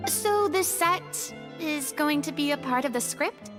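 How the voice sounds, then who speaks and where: An earnest, cautious young adventurer in an anime game. The voice is high, with long reverb and clear music behind it. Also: female voice, lively intonation, unhurried. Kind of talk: anime video game voice acting.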